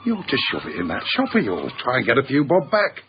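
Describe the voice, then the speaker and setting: Cheeky Yorkshireman, very lively intonation. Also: mid-range voice, quick pace, dull audio, over music. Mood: matey, blunt. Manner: blunt, teasing, colloquial. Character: earthy, cheeky, evasive chip-shop owner. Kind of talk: radio drama dialogue.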